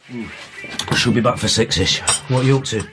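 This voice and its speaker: A man's voice: medium pitch, fairly quick, noisy background, lightly acted. An actor playing a guarded, brooding man in a naturalistic radio drama. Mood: subdued, guarded. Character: guarded man brooding over his mother's secrets.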